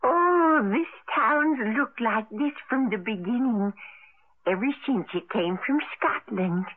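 An actress playing a confused, hospitable elderly village woman in a radio drama, with a vague, unhurried manner. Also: a little high. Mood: wistful. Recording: tinny narrow-band vintage radio broadcast.

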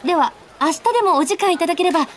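A woman's voice: very high voice, very fast, slight background noise. An actress playing a polite, easily flustered young female office worker in a Japanese radio drama, speaking expressively. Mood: polite, puzzled. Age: young adult. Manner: polite junior speech, expressive. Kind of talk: Japanese radio drama acting with narrated asides.

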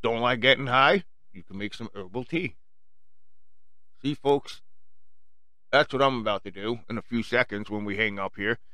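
A man's voice: mid-range voice, moderate pace, long pauses, sharp tone, no room sound. Brash-sounding man with strong Long Island accent. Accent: strong Long Island New York. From Long Island, New York, United States. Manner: blunt, profane, slangy, addresses listeners as folks. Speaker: podcast host addressing listeners. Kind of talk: casual rambling solo monologue.

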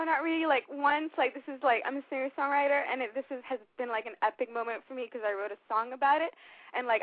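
A woman's voice: fairly high pitch, no room sound. A woman of twenty-two from Switzerland calling in, rambling and giggly with many fillers. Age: 20s. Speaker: caller. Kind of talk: casual phone call. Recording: dry tinny phone line.

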